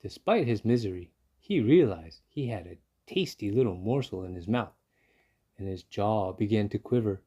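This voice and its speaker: A man's voice: lowish voice, lively intonation, normal speed, mellow, husky. A man narrating a children's story from a book, as a read-aloud. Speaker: storybook reader.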